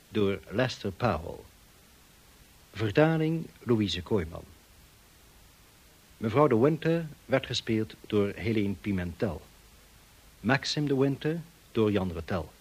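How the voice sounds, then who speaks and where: A male radio announcer reading scripted credits in a formal, deliberate manner. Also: fairly low pitch, breathy edge, rough recording.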